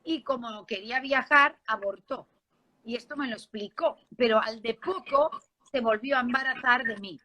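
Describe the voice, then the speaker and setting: A woman's voice: a little high, moderate pace, pushed and bright. A woman with a Spanish accent from Barcelona, telling her life story informally as an interview guest, conversational and often checking in with the listener. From Spain, Barcelona. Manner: conversational, seeks agreement with tag questions.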